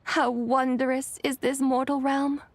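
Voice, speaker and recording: A woman's voice: sits high, flat delivery, takes it slowly, a little hiss or hum. A woman playing an ancient, gracious and wistful immortal in a video game, speaking in an eloquent, serene, poetic manner. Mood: wistful wonder.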